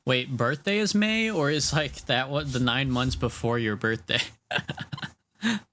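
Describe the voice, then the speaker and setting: Guy in his late 20s rambling to his stream chat, bit of gravel in his voice, mid-pitched. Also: fairly bright tone. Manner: rambling, chatty, laughs often, talks to chat. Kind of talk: casual live-stream chat while drawing.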